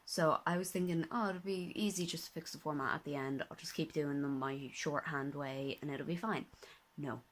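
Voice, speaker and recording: Tired low-voiced young woman vlogging. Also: normal speed, hardly any pauses, a little hiss or hum. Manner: rambling, self-correcting, drops into whispers. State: tired and a bit hoarse. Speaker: vlogger, master's student. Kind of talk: casual vlog talk to camera. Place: her bedroom at home. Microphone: handheld phone at arm's length.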